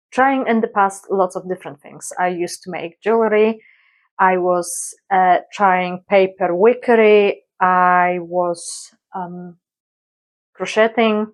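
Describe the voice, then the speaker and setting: Slow unhurried Polish-accented woman vlogger, chatty but hesitant, lots of ums. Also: mid-range voice. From Poland. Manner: hesitant, many fillers, slow and thoughtful. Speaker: YouTuber. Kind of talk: casual introductory vlog.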